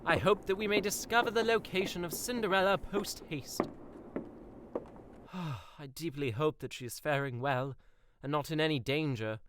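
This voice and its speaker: An actor playing a pompous, self-assured young prince who talks in theatrical, flowery formal language in an audio drama. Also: male voice, on the high side, a little slow, background noise, close to an everyday voice. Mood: grandly formal. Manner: archaic, flowery formal diction.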